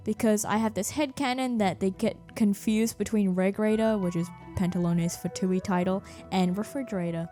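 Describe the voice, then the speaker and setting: A woman's voice: medium pitch, steady tone, moderate pace, hardly any pauses, mellow, faint music behind. A woman narrating a video about her animation work in a casual, jokey, self-deprecating way. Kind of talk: casual scripted video commentary.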